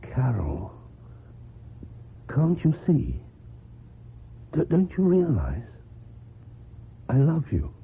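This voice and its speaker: A man's voice: a little high, huge pitch swings, drawn-out words, long pauses, heavily acted. A man acting in a radio drama as an earnest, devoted lover who looks back on his past, tender and hesitant. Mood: earnest, loving. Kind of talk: radio drama acting and first-person narration. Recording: muffled narrow-band old radio recording, clear noise.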